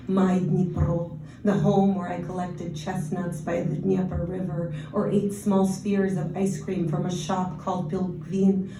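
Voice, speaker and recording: A woman poet, from Ukraine and raised in the Washington DC area, with Russian as her first language, giving a measured, deliberate poetry reading with brief casual asides. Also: on the low side, mellow, slight background noise. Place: reading venue, roomy space. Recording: roomy live recording from a distance.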